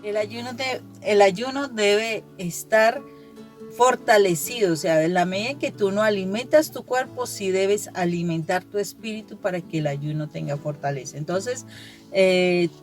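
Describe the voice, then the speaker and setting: Earnest woman reflecting over soft guitar. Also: medium pitch, measured pace. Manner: earnest, explanatory, repeats and rephrases points. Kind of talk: conversational religious reflection. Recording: soft guitar music behind the voice. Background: guitar music.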